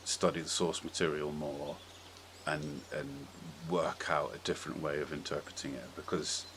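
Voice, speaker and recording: A man's voice: very deep voice, steady tone, normal speed. A man hosting a podcast, chatting casually and informally with strong opinions. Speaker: podcast host. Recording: clearly audible background noise.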